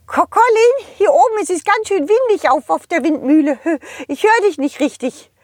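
A woman voicing a small, excitable, silly male bird with chirping interjections in a children's audio story. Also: extremely high pitch, normal speed, dry recording, clean studio sound, pitched above the performer's own voice. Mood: chatty, confused. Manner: chirping interjections, giddy exclamations.

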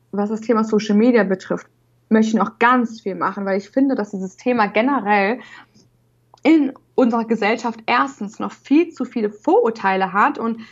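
Young woman who stutters, dull sound. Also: on the high side. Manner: stutters, with repeated syllables and many fillers. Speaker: podcast guest. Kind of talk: casual interview talk.

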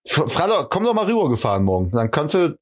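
A man's voice: fairly high pitch, sing-song, fast talker. A man co-hosting a podcast, needling his partner with dry, teasing remarks in joking banter. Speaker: podcast co-host.

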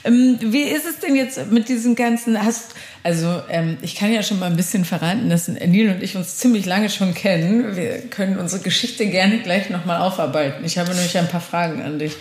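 A woman's voice: fairly low pitch, moderate pace, hardly any pauses. A woman hosting a casual interview, chatty and encouraging, often filling in with short agreements. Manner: chatty, encouraging, frequent backchannel. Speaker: podcast host. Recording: roomy, echoey sound.

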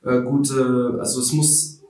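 A man's voice: medium pitch, level tone, takes it slowly, a little mellow, reverberant, poor audio. An eighteen-year-old man from Ukraine, a social media creator, answering interview questions casually with lots of fillers and restarts. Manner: hesitant, many fillers and restarts.

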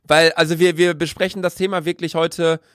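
A young man co-hosting a casual podcast, slangy and quick to laugh, rambling through his points. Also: fairly high pitch, little pitch movement, a bit bright, smooth, dry recording, clean studio sound. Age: young adult. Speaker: podcast co-host. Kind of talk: casual banter.

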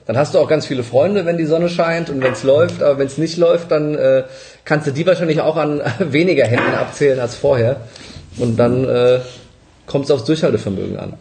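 A man's voice: a little high, a little fast, a little mellow, slight background noise. A man hosting a casual interview, eager and praising, who often repeats words and stumbles while asking questions. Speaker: interviewer, podcast host.

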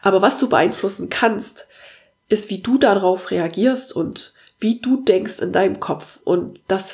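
A young woman with a cold talks in an earnest, encouraging way, hosting her own coaching podcast. Her voice sits at an ordinary pitch with a gravelly edge. She pauses often on filler sounds. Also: darkish tone. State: has a cold. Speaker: podcast host and parenting coach. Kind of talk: casual spoken monologue.

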